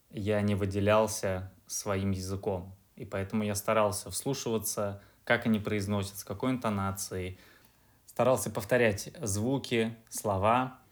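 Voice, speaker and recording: Low-voiced man explaining calmly. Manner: measured, explanatory. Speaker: podcast guest. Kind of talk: casual interview.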